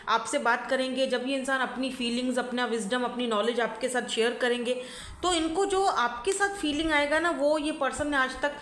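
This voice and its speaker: A woman giving a casual tarot reading, hoarse from a sore throat and flu, chatty and often checking in with her listener while mixing in English words. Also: on the high side, a bit bright, slight background noise. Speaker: tarot reader. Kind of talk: casual pick-a-card reading to camera. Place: indoors at home by a window. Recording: echoey room.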